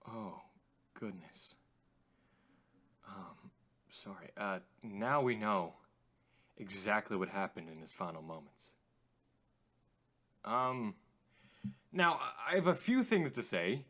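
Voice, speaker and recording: A man's voice: on the low side, big pitch swings, a bit bright, full character voice. An actor in a radio drama playing an uneasy, hesitant male radio host. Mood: earnest, shaken. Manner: hesitant, halting. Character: uneasy radio host presenting a dead man's tapes.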